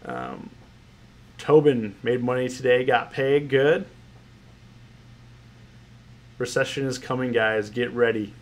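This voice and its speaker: A man's voice: mid-range voice, lively intonation, a little slow. A man with a stuffy, nasal voice from a cold, hosting a trading livestream, chatting casually and greeting viewers by name. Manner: rambling, repeats phrases, greets viewers by name. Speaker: options trading livestream host. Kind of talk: casual livestream commentary, reading chat.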